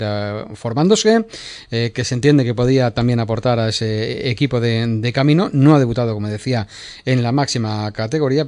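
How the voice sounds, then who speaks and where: Man, sports presenter; clear, resonant tone, conversational, hesitant delivery. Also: medium pitch, darkish tone. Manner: conversational, hesitant with many fillers. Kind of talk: sports news report.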